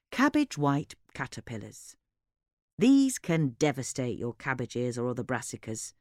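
Low-pitched, animated woman narrator. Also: no room sound, clean studio sound. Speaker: narrator reading a magazine article. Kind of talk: scripted informational narration.

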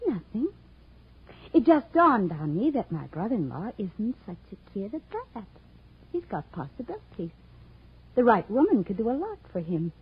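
An actress playing a brassy, scheming widow in 1940s radio crime style, sliding between sugary cooing and sneering. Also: female voice, medium pitch, big pitch swings, normal speed, slightly rough. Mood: hard, cynical. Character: brassy, scheming gold-digger widow, cooing over her lapdog. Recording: muffled old-time radio recording.

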